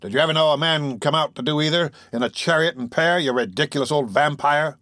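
A man's voice: medium pitch, lively intonation, moderate pace, fairly bright tone, no room sound. A man voicing a blustering, irritable doctor in an audiobook, brusque and bustling. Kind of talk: audiobook character voice.

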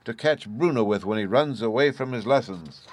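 A man voicing a sweet, gentle young girl who speaks softly and politely. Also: medium pitch, animated, normal speed, lightly acted. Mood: calm. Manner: soft and polite.

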